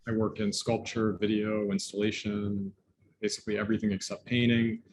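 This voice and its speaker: Low-voiced man, faint noise behind. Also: flat delivery, normal speed, a little mellow. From Philadelphia. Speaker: artist. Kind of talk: self-introduction.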